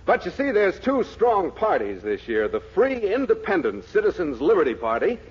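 Breezy, crooked American political boss. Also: male voice, high-pitched, wildly swooping pitch, moderate pace, husky, heavily acted. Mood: brazen, cheerful. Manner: breezy, brazenly frank. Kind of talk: 1940s radio comedy acting. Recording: noisy, dull 1940s radio recording.

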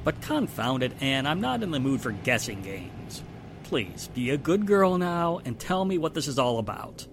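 An actor playing an exasperated, spluttering police inspector in a 1940s-style radio drama. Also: male voice, mid-range voice, very animated, moderate pace, background noise. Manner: spluttering, emphatic, incredulous.

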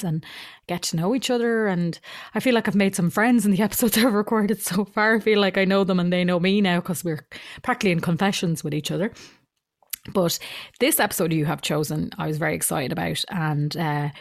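A woman's voice: fairly low pitch, dry recording, studio quality. Chatty Irish woman hosting a podcast. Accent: Irish, mix of Midlands and Dublin. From Ireland. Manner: chatty, rambling, laughs often. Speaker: podcast host. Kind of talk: casual podcast intro.

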